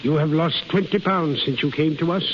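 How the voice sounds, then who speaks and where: A man playing a high-voiced, kindly old innkeeper. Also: fairly quick, lightly acted. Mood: warm, coaxing. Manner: quaint foreign phrasing, fatherly. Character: kindly fussing old Swiss innkeeper, devoted and blunt. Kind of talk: 1930s radio acting. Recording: muffled, hissy 1930s radio recording.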